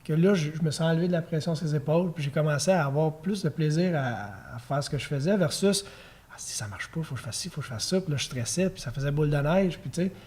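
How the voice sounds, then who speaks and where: A man in his thirties with a Quebec French accent, a former pitcher chatting as a podcast guest, telling stories easily with chuckles and fillers. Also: quick pace, husky. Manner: relaxed storytelling with fillers and chuckles. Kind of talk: casual podcast conversation.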